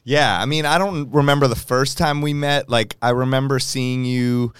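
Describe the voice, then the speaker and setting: A man's voice: mid-range voice, moderate pace, fairly bright tone, clean tone, dry recording. Clean, dry-sounding American man hosting casually. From Los Angeles. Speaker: podcast host. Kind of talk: casual interview chat.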